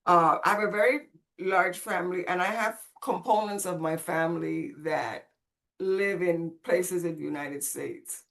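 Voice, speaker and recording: A woman's voice: lowish voice, unhurried, creaky, fairly bright tone. A woman from the Caribbean, raised speaking Spanish, telling her life story conversationally and expressively as a podcast guest. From Caribbean, born on Saint Croix, raised in Puerto Rico.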